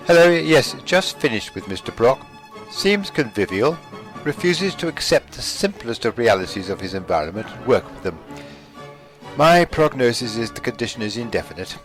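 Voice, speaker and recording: A man acting a composed, clinical psychiatrist in a radio play, speaking in a measured, formal and reserved way. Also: medium pitch, very animated, soft background music, close to an everyday voice. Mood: brisk, clinical. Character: composed, clinical male psychiatrist assessing a prisoner. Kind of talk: radio play acting, amateur dramatic reading.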